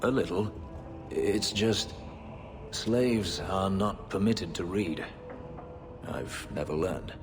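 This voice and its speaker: An actor playing a brooding male elf, an escaped slave and warrior, speaking in a grave, measured, bitter way. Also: low voice. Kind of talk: video game voice acting. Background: game music score.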